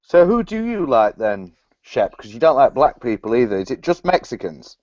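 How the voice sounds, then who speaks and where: A man's voice: mid-range voice, big pitch swings, moderate pace, a little mellow, dry recording, muffled recording. A man, teasing and provoking his co-hosts in a casual chat. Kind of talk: casual chat about boxing.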